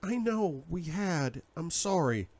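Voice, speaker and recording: A man voicing a frightened, apologetic young woman who speaks softly and pleadingly in an audiobook. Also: on the high side, lively intonation, slow pace, voice pushed up, exaggerated voice. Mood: hushed, pleading. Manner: soft, hushed, pleading.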